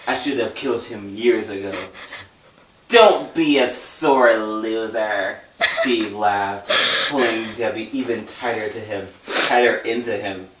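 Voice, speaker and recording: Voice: medium pitch, slow pace, fairly bright tone. A person reading a novel aloud casually in a mock-dramatic, giggly way. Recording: roomy, distant mic, muffled, noisy.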